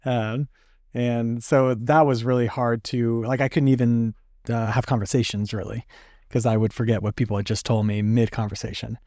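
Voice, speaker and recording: A man's voice: medium pitch, little pitch movement, moderate pace, a little mellow, no room sound, clean studio sound. A young man, a podcast guest, telling his story candidly with many fillers and some laughs. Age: young adult. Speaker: podcast guest, stroke survivor. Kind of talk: casual conversation.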